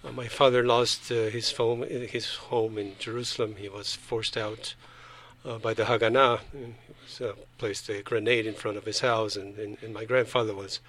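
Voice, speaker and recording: A man from Colombia, a panel guest, speaking haltingly and often repeating words as he restarts. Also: fairly low pitch, level tone, a bit bright. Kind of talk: radio panel discussion.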